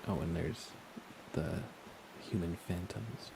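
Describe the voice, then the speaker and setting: A man softly talking to himself while livestreaming a video game in a hushed, casual ASMR style, hesitant and musing. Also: sits low, slow pace, creaky, noisy background. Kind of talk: ASMR gaming commentary, hushed casual chat.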